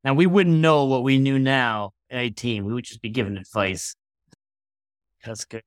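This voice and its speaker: A man's voice: mid-range voice, fairly even intonation, normal speed, no room sound, clean studio sound. A man in his thirties, a real estate investor, talking in a loose, rambling conversational way as an interview guest. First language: Hungarian. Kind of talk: casual interview chat.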